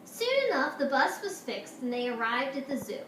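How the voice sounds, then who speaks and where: A woman teacher doing an expressive picture-book read-aloud for her pupils. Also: sits high, normal speed, distant mic. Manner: expressive, animated storytelling. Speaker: schoolteacher reading to pupils. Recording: roomy, echoey, noisy home-style recording.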